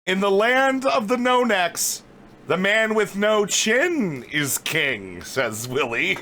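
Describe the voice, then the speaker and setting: A man's voice: sits high, big pitch swings, slow pace, pushed and bright. A man streaming a casual reaction to a video, sarcastic and swearing as he quips at it.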